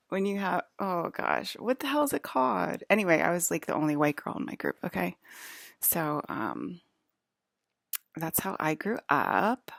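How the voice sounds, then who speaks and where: Gravelly, slow-talking woman from New Jersey. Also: on the low side, very animated, dry recording, clean studio sound. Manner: chatty, self-interrupting, with whispered asides. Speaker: vlogger. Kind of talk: rambling casual monologue.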